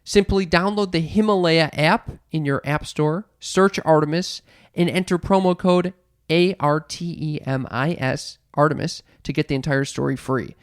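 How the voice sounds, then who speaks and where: A woman's voice: low-pitched, normal speed, no room sound, clean studio sound. A woman hosting a podcast, moving between casual chat and reading promotional copy. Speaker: podcast host.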